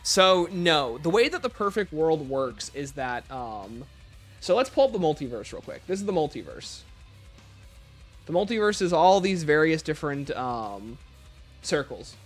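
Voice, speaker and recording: A man's voice: on the high side, very animated, measured pace, soft background music. A man hosting a livestream, reading out chat questions and answering them informally while thinking aloud. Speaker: livestream host.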